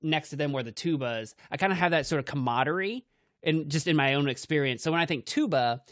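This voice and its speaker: A young man with a fairly high voice chats on a podcast from a home setup. He laughs easily and strings thoughts together with "like." The sound is close and dry over voice chat. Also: animated, fast, fairly bright tone, no echo. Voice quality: higher-pitched voice. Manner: laughs often, lots of "like" fillers. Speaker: podcast co-host. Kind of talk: casual chat. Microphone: home mic over voice chat.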